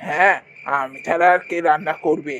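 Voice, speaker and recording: A man's voice: fairly high pitch, steady tone, a little fast, fairly bright tone, dull audio, slight background noise. A man voicing a ghostly middle-aged food-stall keeper in a horror cartoon, insistent and repetitive, over night ambience. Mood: humble, hospitable. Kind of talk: animated horror story acting.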